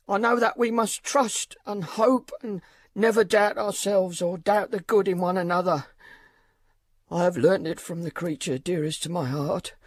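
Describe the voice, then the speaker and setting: A man's voice: sits high, no room sound. An actor playing a tender-hearted old working father, emotional and exclamatory, in a radio drama. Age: older. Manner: emotional, exclamatory, repeats phrases.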